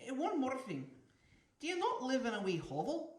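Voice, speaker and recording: A woman's voice: high voice, huge pitch swings, normal speed. A woman voicing a pushy, teasing talking seagull with an exaggerated Scottish accent in a comic audio story, recorded with room echo. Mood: advising. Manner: overblown, teasing, scolding. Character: pushy small talking seagull, self-appointed voice of reason.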